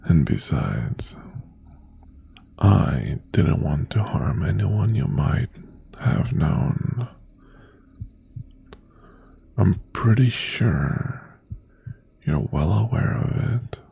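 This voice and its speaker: A man playing an obsessive mafia boss ex-boyfriend in an intimate ASMR roleplay, softly threatening and possessive yet tender, in a gravelly half-whisper. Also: low-pitched, big pitch swings, heavily acted. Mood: quiet menace. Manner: slow, deliberate, softly threatening.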